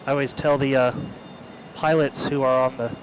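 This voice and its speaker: A man's voice: medium pitch, flat delivery, normal speed, a little hiss or hum, rough recording. A young man who works the airport ramp, chatting casually and easily about his job. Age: young adult. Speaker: ramp worker and guest. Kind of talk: casual chat.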